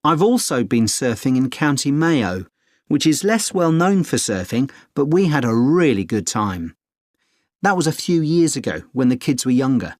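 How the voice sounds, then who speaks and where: A man's voice: medium pitch, big pitch swings, moderate pace, darkish tone, no echo, studio quality, natural voice. A man acting a friendly, experienced middle-aged surfer dad giving informative, encouraging advice in a scripted exam dialogue. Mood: helpful, reminiscing.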